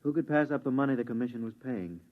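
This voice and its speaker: A man's voice: mid-range voice, lively intonation, quick pace, close to an everyday voice. An actor playing a married crewman on a moon expedition, subdued and homesick, in a 1950s radio drama. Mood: plain. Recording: tinny vintage radio broadcast.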